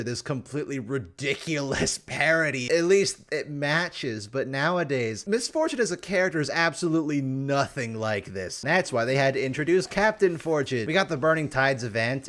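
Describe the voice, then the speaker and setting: A man hosting a casual, rambling game review with animated, exclamatory, mock-exasperated asides. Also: mid-range voice. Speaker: video host reviewing game characters.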